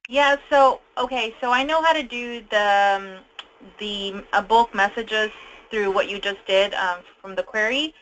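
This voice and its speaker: A woman on a conference call casually explaining a software problem as a trainee, informal and a little hesitant. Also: medium pitch, measured pace, slight background noise, low-quality recording. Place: conference call with screen share.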